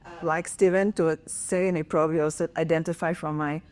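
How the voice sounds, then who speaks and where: Woman, 40s, Israeli accent, professor on a panel; earnest, halting, lower register. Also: steady tone, a little mellow. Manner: earnest, frequent fillers and restarts. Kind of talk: conference panel talk.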